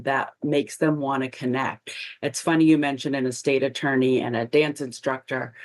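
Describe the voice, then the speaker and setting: Chatty marketing host, mid-pitched. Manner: chatty, agreeable, tells anecdotes. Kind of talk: casual advice conversation.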